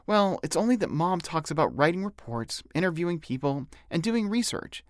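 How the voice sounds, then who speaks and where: A man's voice: on the high side, sing-song, moderate pace, dry recording, studio quality, pitched above the performer's own voice. A man voicing a kind, thoughtful twelve-year-old boy in an audiobook, talking casually and a little hesitantly. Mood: casual, matter-of-fact.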